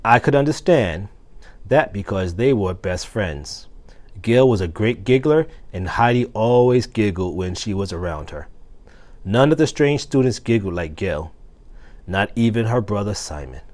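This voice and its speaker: A man reading a children's story aloud with expressive, deliberate phrasing. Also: lowish voice. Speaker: assistant principal reading aloud.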